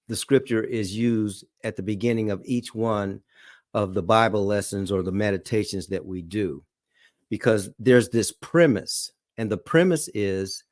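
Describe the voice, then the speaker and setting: A man's voice: on the low side, dry recording, clean studio sound. A man teaching a Bible study lesson, reading scripture aloud and checking in often with "okay?" and "all right?". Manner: reads scripture aloud slowly.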